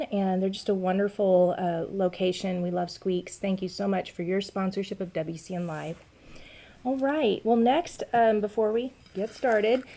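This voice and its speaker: A woman's voice: medium pitch, mellow. A woman hosting a casual livestream chat from a small office, friendly and chatty with frequent ums. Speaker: host.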